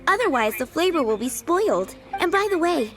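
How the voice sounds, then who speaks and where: A woman playing a kind young restaurant worker in a video game, speaking in a polite, earnest, gentle manner. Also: sits high, very animated, fairly quick. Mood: cheerful, caring. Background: game music.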